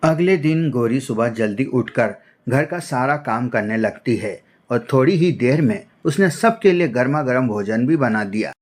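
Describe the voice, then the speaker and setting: A man's voice: medium pitch, expressive, normal speed, hardly any pauses. A man narrating a scripted children's-style cartoon story as its storyteller.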